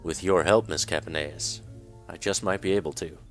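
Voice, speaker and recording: A man playing a calm, curious detective. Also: deep voice, soft background music. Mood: inquisitive. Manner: measured, polite and inquisitive.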